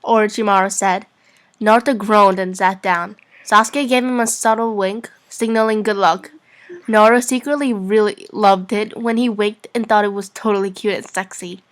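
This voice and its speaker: A woman's voice: medium pitch, fairly even intonation, moderate pace. A woman narrating fan fiction in an informal, amateur reading-aloud style. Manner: giggly, informal.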